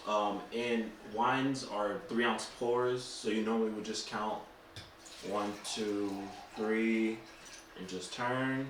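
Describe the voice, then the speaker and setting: A man co-hosting a podcast, calmly chatting and explaining how to present a wine. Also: on the low side, slow pace, a little hiss or hum. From Haitian and Cape Verdean family. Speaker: podcast co-host. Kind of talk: casual chat, presenting a wine. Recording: echoey room, mic far off.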